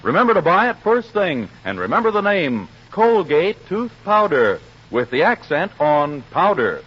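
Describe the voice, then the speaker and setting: Animated, higher-pitched male vintage radio announcer. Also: moderate pace, breathy edge. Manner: polished, emphatic salesmanship. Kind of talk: 1940s radio advertising announcement. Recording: muffled vintage radio broadcast.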